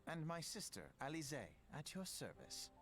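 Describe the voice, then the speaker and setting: A man's voice: on the high side, sing-song, moderate pace, fairly bright tone, faint music behind. A man voicing a polite, earnest young male scholar in a video game, making courteous, formal introductions.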